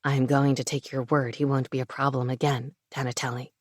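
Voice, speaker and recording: A woman voicing a big, protective dragon shifter man who speaks quietly and coldly. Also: a little fast, dry recording, studio quality. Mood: coldly firm. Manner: low, quiet, steady menace.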